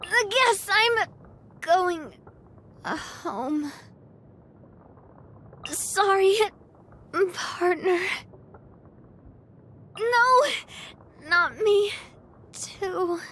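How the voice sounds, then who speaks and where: A woman playing a cheerful, genteel young lady and confident leader in a video game, bubbly, expressive and playful. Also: very high voice, very slow, long pauses, full character voice. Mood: weakened, regretful. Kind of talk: video game character voice lines.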